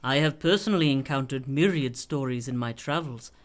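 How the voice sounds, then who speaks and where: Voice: medium pitch, lightly acted. An actor as a mysterious, worldly swami. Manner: measured, cryptic, formal diction. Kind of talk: radio play acting.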